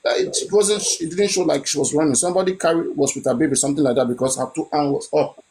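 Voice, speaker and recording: A man's voice: fairly high pitch, fast, darkish tone, a little hiss or hum, poor audio. Insistent man. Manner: insistent, repeats points, asks rhetorical questions. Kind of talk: animated opinionated chat.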